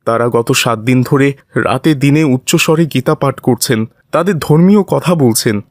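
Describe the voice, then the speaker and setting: A man's voice: mid-range voice, normal speed, dry recording, studio quality, close to an everyday voice. Man acting polite, pleading cartoon imam. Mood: indignant, earnest. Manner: pleading, reasoning. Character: devout, wronged imam, a father, polite but firm. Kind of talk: dubbed cartoon dialogue.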